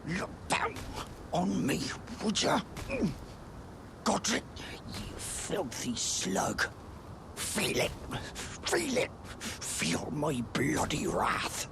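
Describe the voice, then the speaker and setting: An actor playing a spiteful, sneering British lackey in a fantasy game, with a breathy, half-whispered voice and a drawn-out, mocking delivery. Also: male voice, high-pitched, sing-song, background noise, heavily acted. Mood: vengeful, contemptuous. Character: spiteful, sneering male lackey gloating over his fallen master. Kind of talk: dramatic fantasy game acting.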